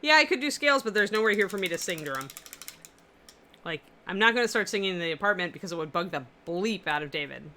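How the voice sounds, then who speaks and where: A woman's voice: mid-range voice, animated, quick pace, sharp tone. A woman hosting a miniature painting livestream from her apartment, chatting casually and answering viewer comments as she paints, with a powerful voice that carries. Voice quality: powerful, carrying voice.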